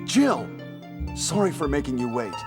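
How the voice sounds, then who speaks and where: A man's voice: medium pitch, measured pace. An actor voicing a brave young male agent in a 1990s video game, with stiff line readings. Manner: stiff, flatly delivered lines. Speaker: game character. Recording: music behind the voice.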